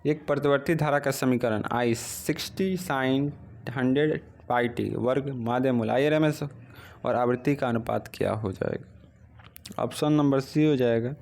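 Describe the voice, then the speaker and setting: A man's voice: mid-range voice, a little slow, gravelly. Man drilling exam questions by phone. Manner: steady question-and-answer drilling. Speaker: exam coaching teacher. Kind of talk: exam practice lesson.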